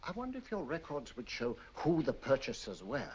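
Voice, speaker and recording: Suave scheming colonel, faint hiss, lo-fi old film sound. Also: male voice, on the high side, wildly swooping pitch, normal speed. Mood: probing. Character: smooth, scheming middle-aged male colonel. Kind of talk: 1940s film acting.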